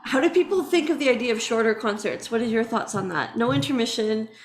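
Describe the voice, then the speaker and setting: An upbeat, chatty woman hosts a relaxed interview with musicians. Her voice sits a little high and she laughs easily between questions. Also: fast talker, a little hiss or hum. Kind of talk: casual video-call interview.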